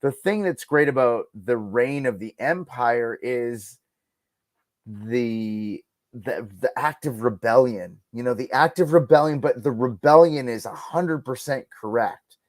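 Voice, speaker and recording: A man's voice: mid-range voice, measured pace, no echo. A man talking casually as a podcast guest, giving emphatic, musing opinions peppered with "like" and "you know".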